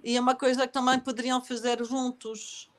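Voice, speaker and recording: A woman with a fairly high voice talks calmly about poetry and song, then reads gently from her novel. Her speech is reflective and unhurried, and a slight leftover cough from a recent illness still shows. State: lingering cough after illness. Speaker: author. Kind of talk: conversational interview, then reading aloud from her novel.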